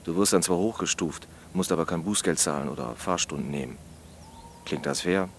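A man voicing a dry, teasing but lenient policeman in an audiobook, matter-of-fact and deadpan, with faint noise behind. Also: low voice, brisk, voice pushed down. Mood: lenient, firm.

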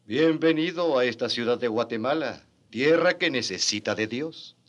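Male actor as colonial bishop, stern, authoritative, formal diction, medium pitch, radio drama. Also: moderate pace, dull audio, close to an everyday voice. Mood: stern courtesy.

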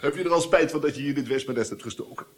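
A man's voice: on the high side, very fast, close to an everyday voice. An actor playing a drunken, sly, teasing man in a radio drama, familiar and impatient. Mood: sly, taunting. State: drunk (acted).

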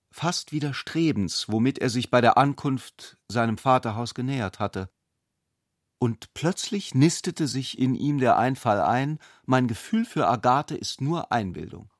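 A man's voice: mid-range voice, no room sound, clean studio sound. A man reading scripted literary narration for a radio play.

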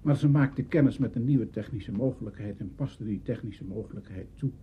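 An older man with a Netherlands Dutch accent, reminiscing in an interview in a deliberate, halting way, searching for words. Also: on the low side. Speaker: interviewee recalling early radio drama. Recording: dull, slightly noisy interview recording.

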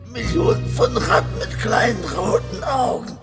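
An actor playing a dying, tormented madman in a German radio horror drama, breathy whispers breaking into feverish, halting cries. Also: high voice, lively intonation. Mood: feverish awe. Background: music.

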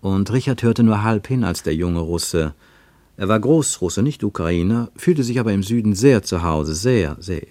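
Low, expressive male narrator. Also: normal speed, no room sound. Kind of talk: scripted literary narration.

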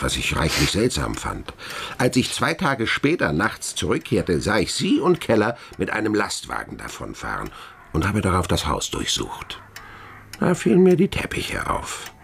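An actor playing a shrewd, weary older police inspector in a German radio crime drama, gravelly, speaking in a dry, deliberate, lecturing way. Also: male voice, low-pitched, slight background noise, exaggerated voice.

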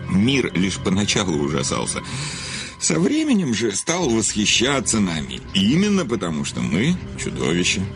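An actor playing a famous crime novelist in an audio drama, an urbane, cynical self-confessed murderer who talks grandly, with ironic hesitations. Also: male voice, deep voice, exaggerated voice. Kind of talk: theatrical audio drama dialogue.

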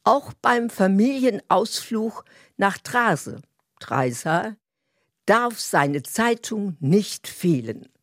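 A woman's voice: medium pitch, big pitch swings, extremely slow, no echo, studio quality. A woman narrating in a scripted style, introducing the scenes and characters of a comedy.

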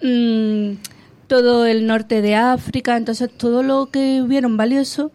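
A woman's voice: on the high side, little pitch movement, a little slow, mellow, clean tone. A woman on a casual talk show, telling food history enthusiastically and rambling a bit as she goes.

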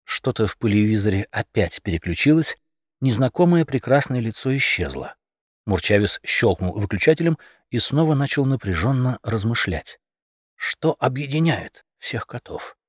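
A man narrating a satirical fairy tale as an expressive audiobook storyteller. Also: mid-range voice, moderate pace, slightly rough, no room sound, studio quality.

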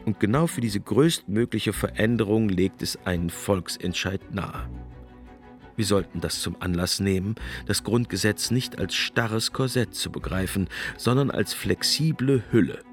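A man narrating scripted documentary commentary in a measured, reflective way. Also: on the low side, faint music behind. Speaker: narrator, essayist's reader.

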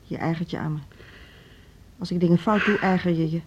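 An actress playing a measured, firm school director in her fifties in a radio drama, deliberate and diplomatic. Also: lowish voice, steady tone, muffled recording, noisy background, natural voice. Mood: hurt, weary. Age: 50s. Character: measured, firm middle-aged female music school director. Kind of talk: Dutch radio drama acting.

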